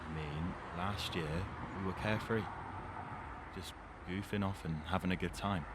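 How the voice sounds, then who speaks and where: A man's voice: normal speed, close to an everyday voice. A young man playing an earnest, troubled teenage lad burdened by growing up, reflective in manner, in an amateur audio drama. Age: young adult. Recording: noisy amateur recording, environmental rumble.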